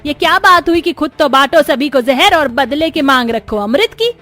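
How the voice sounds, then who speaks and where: Woman playing an outspoken, high-voiced cartoon family woman. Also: expressive, moderate pace, bright, forceful tone. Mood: scolding.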